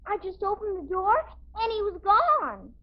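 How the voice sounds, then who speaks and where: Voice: very high-pitched, very animated, moderate pace, full character voice. A performer playing an innocent, whiny young American daughter in a 1950s radio comedy. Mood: pleading, sheepish. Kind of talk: 1950s radio sitcom acting. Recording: muffled 1950s radio recording.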